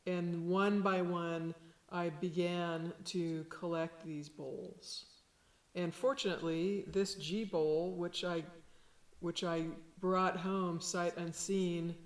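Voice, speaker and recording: A woman with a low voice, a sound healer giving a gentle, unhurried lesson. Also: echoey room. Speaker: shamanic sound healer teaching. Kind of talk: slow calm instructional talk.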